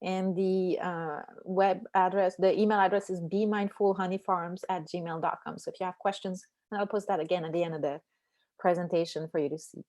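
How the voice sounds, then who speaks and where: A woman's voice: on the low side, a little fast. Middle-aged French woman, accented English, easygoing presenter over a computer mic. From France. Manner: relaxed, many ums and uhs. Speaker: beekeeping presenter. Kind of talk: online slide presentation.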